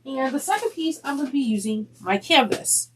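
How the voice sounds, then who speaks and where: A woman walks through a sewing project in a chatty, rambling way, often stopping on ums and restarts. Her voice sounds a little deeper and stuffy from a sinus infection. A faint hiss of noise sits under the recording. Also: animated, normal speed. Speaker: sewing tutorial host. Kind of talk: step-by-step sewing tutorial.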